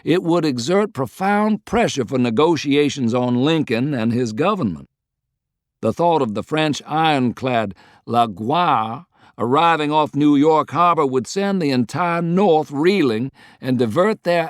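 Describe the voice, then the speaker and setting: A man voicing a shrewd, persuasive Confederate statesman in an audiobook, speaking in a deliberate, eloquent way. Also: a little high, lively intonation, no echo, studio quality, voice pushed up. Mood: emphatic persuasion. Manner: deliberate, articulate, rhetorical.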